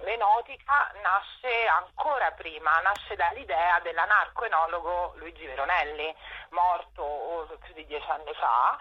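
A woman's voice: on the low side, husky. A woman explaining things hesitantly as an interview guest on a dull radio phone-in. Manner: hesitant, explanatory, with drawn-out pauses. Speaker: festival presenter, interview guest.

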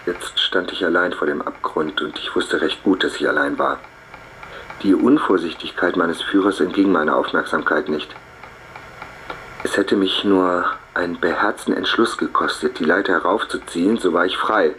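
An actor playing a brooding outlawed innkeeper turned murderer in a radio play, speaking in a grave, measured, reflective way. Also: male voice, low-pitched, fairly bright tone, a little hiss or hum, poor audio. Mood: uneasy, reflective. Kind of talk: German radio play, first-person narration and dialogue. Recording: music clearly behind the voice.

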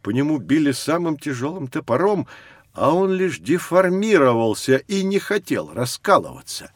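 An actor playing a patient, kindly guide who tells a children's educational story in a measured, expressive way. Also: male voice, on the high side, no room sound, clean studio sound. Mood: patient, reflective. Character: wise adult male guide, Vladimir Sergeyevich, patient and kindly storyteller. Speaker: narrator and guide. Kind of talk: children's educational audio drama narration.

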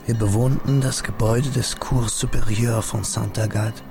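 Creaky low-voiced reflective male narrator. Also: steady tone, normal speed, music in the background. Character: grown man quietly recalling his boyhood at fifteen. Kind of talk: literary radio drama narration.

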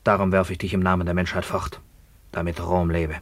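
Radio play: grim returned captive, low voice, declamatory and vengeful, close dry recording. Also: male voice, flat delivery, brisk, dry recording. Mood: cold, commanding. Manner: declamatory, grim, swelling from low murmurs to fierce cries. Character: bitter maimed Roman returnee from captivity, commanding and vengeful. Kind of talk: theatrical German radio drama acting.

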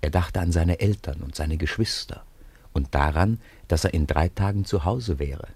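Deep-voiced man narrating a children's story. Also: moderate pace, a little mellow, slightly rough, no room sound. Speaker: narrator of a children's radio play.

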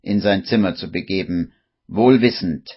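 A man's voice: sits low, moderate pace, slightly rough. A man narrating a suspenseful radio play in a scripted storytelling style. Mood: suspenseful narration. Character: male storyteller of a suspenseful monk tale. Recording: dull lo-fi radio recording, music behind.

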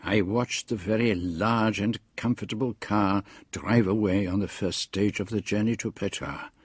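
Grave storyteller, lowish husky voice, measured, uneasy, close dry sound, radio mystery. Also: male voice, fairly even intonation, no room sound. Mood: foreboding. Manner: measured, foreboding. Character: grave, uneasy male narrator recalling a mystery. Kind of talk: dramatised first-person narration.

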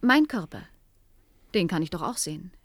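A woman's voice: lowish voice, expressive, normal speed, no echo. An actress playing a thoughtful female cybernetics scientist in a German science fiction radio play, hesitantly explaining and thinking aloud. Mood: curious, working it out.

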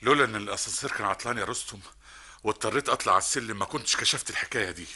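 An actor with an Egyptian accent playing a nervous, jittery accomplice in a spy ring. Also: male voice, fairly low pitch, bright, forceful tone, slightly rough, heavily acted. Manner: jittery, rapid. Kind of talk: 1960s Egyptian radio drama acting.

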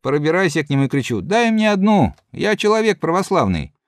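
A man's voice: fairly high pitch, fairly quick, dry recording, clean studio sound. A man reading the part of a good-natured, rambling middle-aged soldier telling his comrades a yarn. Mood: chatty. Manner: chatty, lively yarn-spinning to comrades. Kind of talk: audiobook reading.

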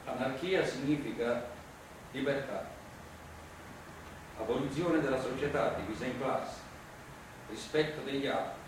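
A man playing a proud, plainspoken immigrant anarchist workman on trial, speaking in short, deliberate phrases as a theatrical monologue. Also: medium pitch, lightly acted. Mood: solemn, declamatory. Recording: very echoey, distant stage recording, noisy.

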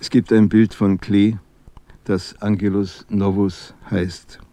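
A man's voice: fairly low pitch, flat delivery, takes it slowly, mellow, no echo. A man reading a prose text aloud.

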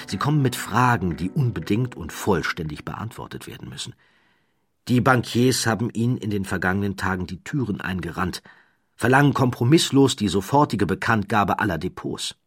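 A man reading a documentary audiobook in a measured, dramatic storytelling manner, building rhythmic lists. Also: sits low, slightly rough. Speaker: audiobook narrator. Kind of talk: scripted documentary narration.

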